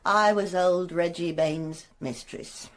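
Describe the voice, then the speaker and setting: A woman's voice: lowish voice, fairly even intonation, slow. An actress playing a blunt, defiant, bitter working-class mother in a radio drama. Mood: bitter, brittle. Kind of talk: emotive radio drama acting.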